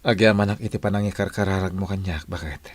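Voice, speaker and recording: A man's voice: low voice, steady tone, fast, close to an everyday voice. A man with an Ilocano accent acting a humble, devout family man in a radio drama. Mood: tender, grateful.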